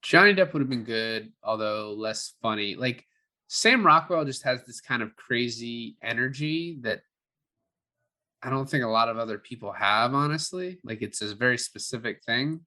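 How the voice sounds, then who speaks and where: Bright-voiced man chatting about film. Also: mid-range voice, lively intonation, normal speed. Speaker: podcast co-host. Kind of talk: casual film review chat.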